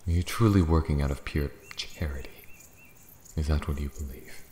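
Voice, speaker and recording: A man playing a proud, bitter, ancient dragon in a fantasy ASMR roleplay, brooding and scornful, theatrical, dipping into hushed breathy tones. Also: extremely low pitch, moderate pace. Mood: grudging, contemptuous. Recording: roomy echo, faint background noise.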